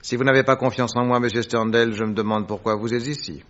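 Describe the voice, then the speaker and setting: An actor playing a composed, shrewd detective with an incisive, courteous manner in a French radio drama. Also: male voice, medium pitch, fairly even intonation, fast, a bit bright, natural voice. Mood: probing, polite. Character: composed, shrewd male detective, probing and politely ironic. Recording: dull, muffled vintage radio recording.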